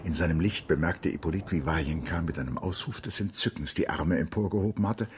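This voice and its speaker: A man narrating as a grave, literary storyteller in a German radio drama, with a low, husky voice. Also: fairly quick, background noise, close to an everyday voice. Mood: grave, descriptive.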